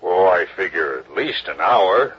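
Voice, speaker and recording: A man's voice: deep voice, animated, measured pace, a little hiss or hum. A man playing an easygoing drifter in a 1950s radio drama, drawling and hesitant. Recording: muffled old radio broadcast.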